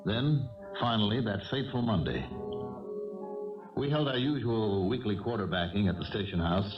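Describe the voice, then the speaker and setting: An American man playing a calm, wry, methodical police lieutenant who also narrates a 1970s radio crime drama in a measured, authoritative way. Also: fairly low pitch, music in the background, natural voice. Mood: measured narration. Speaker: narrator and lead character. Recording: dull old radio broadcast, slightly distant.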